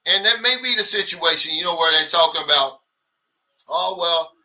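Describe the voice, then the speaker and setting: Blunt man in his sixties, bright high voice. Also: moderate pace, recorded from a distance, rough recording. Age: 60s. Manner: blunt, animated, mimics others' complaints. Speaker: livestream host. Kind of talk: casual livestream chat reading viewer comments.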